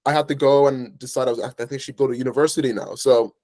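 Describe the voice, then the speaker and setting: A man's voice: mid-range voice, fast talker, darkish tone, no echo. Young man, Irish accent, early twenties, rambling casual talk, dry close sound. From Ireland. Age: early 20s. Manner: rambling, filler-heavy storytelling. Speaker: guest. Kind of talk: casual interview chat.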